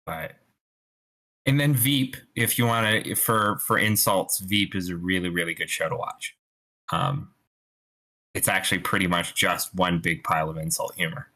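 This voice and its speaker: A man casually explaining an idea off the cuff, halting and correcting himself as he goes. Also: low-pitched, pushed and bright. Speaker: participant giving writing advice.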